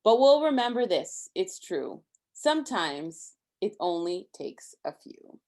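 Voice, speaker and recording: A woman's voice: medium pitch, big pitch swings, slow, a little mellow. A woman reading a rhyming children's story aloud expressively, with simple, casual explanations for a young listener. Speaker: storybook reader.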